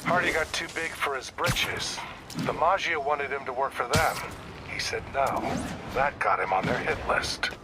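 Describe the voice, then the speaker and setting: A man's voice: mid-range voice, moderate pace, heavy background noise, close to an everyday voice. A man voicing an older police detective in a video game, world-weary, guarded and matter-of-fact in his talk. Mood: matter-of-fact, wry.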